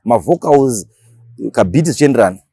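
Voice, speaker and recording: A man's voice: mid-range voice, expressive, normal speed, mellow, slightly rough, no room sound. A man with a Zimbabwean accent, talking casually as a radio DJ being interviewed, mixing languages and repeating words for emphasis.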